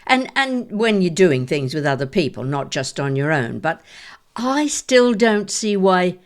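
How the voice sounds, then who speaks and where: An older woman playing a shrewd, sceptical grandmother in an audio drama, gently probing in a measured, questioning manner. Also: lowish voice, big pitch swings.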